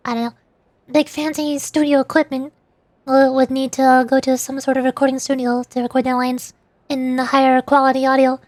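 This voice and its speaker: High-pitched giggly woman streamer. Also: little pitch movement, brisk, a little mellow, dry recording. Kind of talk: casual livestream chat.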